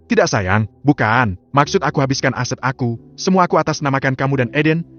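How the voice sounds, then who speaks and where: A man voicing a cold, ruthless older crime boss who talks in a flat, curt way. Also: mid-range voice, quick pace, voice pushed up, natural voice. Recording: slightly muffled, soft music behind.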